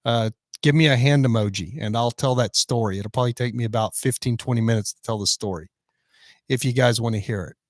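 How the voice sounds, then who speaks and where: A man's voice: mid-range voice, fairly quick, no room sound, studio quality. A man in his fifties hosting a casual live stream, chatting and reading out viewer comments. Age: 50s. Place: van in the rain.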